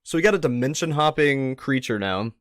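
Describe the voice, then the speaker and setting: A man doing casual live commentary as a streamer, talking in a slangy, excitable way with mild substitute swears. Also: medium pitch, a little fast, no room sound, studio quality. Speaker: streamer reacting to videos.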